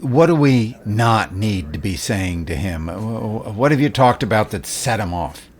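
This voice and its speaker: An actor playing a worried, careful radio station boss in an audio drama, measured and a little hesitant. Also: male voice, fairly low pitch, lively intonation, close to an everyday voice. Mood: worried, serious. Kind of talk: naturalistic audio drama acting.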